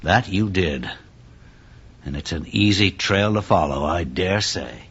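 A man playing a firm, commanding small-town police inspector with a husky voice in an audio drama. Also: a little high, big pitch swings, measured pace, bright, forceful tone, exaggerated voice. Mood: hushed, thoughtful. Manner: authoritative, stern. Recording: slightly dull audio.

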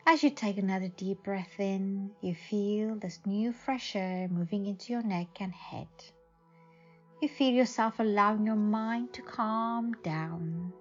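A woman narrating a guided meditation in a soothing, measured way with long pauses. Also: medium pitch, dull audio. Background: faint music.

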